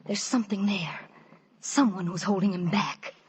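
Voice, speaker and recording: An actress playing an obsessive, lovesick young woman in a 1940s radio suspense drama, fervent, breathy and pleading. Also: mid-range voice, normal speed, a little hiss or hum, close to an everyday voice. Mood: intense, brooding. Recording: old radio broadcast with music behind.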